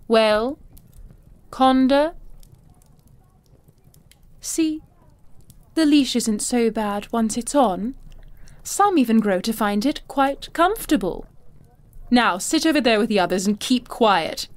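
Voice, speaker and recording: An actress playing an imperious, cruel older madame in a fantasy audio drama, haughty, silky and condescending. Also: female voice, on the high side, animated, takes it slowly, long pauses. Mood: threatening.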